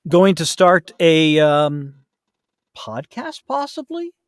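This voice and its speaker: Male podcast guest, lively swooping pitch, casual and rambling, self-deprecating, close dry sound. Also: slow, no echo. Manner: rambling, self-deprecating, often trailing off mid-thought. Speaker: podcast guest, audiobook narrator.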